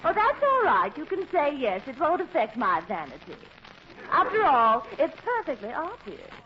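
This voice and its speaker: A haughty, self-adoring young model, gushing and condescending in a 1940s-style radio comedy. The voice is high and swoops widely. The old recording is dull and noisy. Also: female voice, wildly swooping pitch, normal speed. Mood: preening. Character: vain, haughty young glamour model, female.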